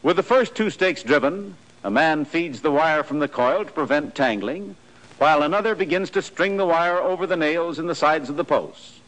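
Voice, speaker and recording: An American man with a fairly high-pitched voice reads scripted instructional narration in the manner of a 1940s training film. His delivery is formal and precise, setting out each point matter-of-factly. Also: lively intonation, normal speed, fairly bright tone, rough recording.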